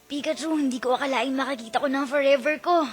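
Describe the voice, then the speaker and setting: A woman voicing an earnest, excitable young boy trainer from a cartoon, melodramatic in manner. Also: high-pitched, fast, soft background music, close to an everyday voice. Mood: lovestruck.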